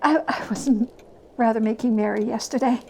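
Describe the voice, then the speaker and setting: Woman as a timid stammering clerk, higher-pitched. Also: fairly quick, creaky, a little mellow. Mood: nervous pleading. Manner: stammering, pleading. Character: timid apologetic male clerk, stammering before his boss.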